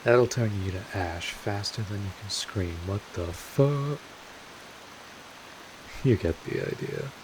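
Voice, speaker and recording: A man playing a seasoned, streetwise vampire mentor in an audio roleplay, wry and casual as he talks patiently and reassuringly to the listener. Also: lowish voice. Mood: casual, instructive. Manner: wry, unhurried, casual, with swearing. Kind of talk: scripted audio roleplay, one-sided conversation to the listener. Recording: steady hiss, rain-like noise.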